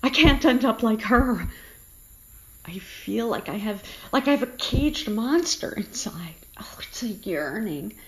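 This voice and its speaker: A woman's voice: a little high, expressive, measured pace, rough recording. A woman playing a homeless old bag lady in a radio horror drama, muttering plaintively to herself with theatrical indignation. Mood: plaintive, anxious. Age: older. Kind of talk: audio horror drama acting.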